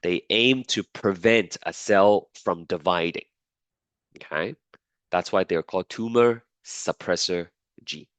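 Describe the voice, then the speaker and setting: A man's voice: low-pitched, takes it slowly, fairly bright tone, no room sound. A man teaching a biology class while sick and losing his voice, repeating key points and checking in with students. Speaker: biology lecturer. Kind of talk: classroom lecture.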